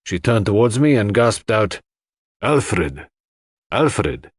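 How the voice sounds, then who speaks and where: A man voicing an authoritative London toxicologist giving formal, measured evidence in an audiobook. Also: fairly low pitch, big pitch swings, husky, no room sound, clean studio sound, heavily acted. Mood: measured, certain.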